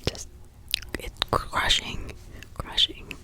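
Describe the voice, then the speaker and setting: A woman who is a parent, whispering close to the mic as she retells a crime story, soft, halting and emotional. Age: adult parent. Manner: halting, with many restarts and pauses. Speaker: true crime ASMR storyteller.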